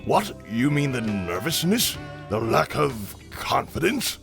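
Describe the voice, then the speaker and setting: A man voicing a kindly, idealistic older scientist in a comic dub, with measured, scholarly and formal phrasing. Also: medium pitch, very animated, gravelly, exaggerated voice. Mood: thoughtful. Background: prominent music.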